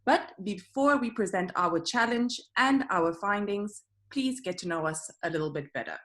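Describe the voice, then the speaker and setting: A woman's voice: mid-range voice, lively intonation, moderate pace, fairly bright tone. A woman giving a scripted student project presentation, walking steadily through slides with some fillers.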